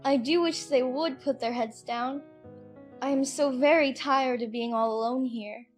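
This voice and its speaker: A little storybook girl played by a woman, very high in pitch. She is polite and earnest, musing as if to herself and easily distressed. Soft music sits behind her in this audiobook drama. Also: fairly even intonation, unhurried. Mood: tearful, weary.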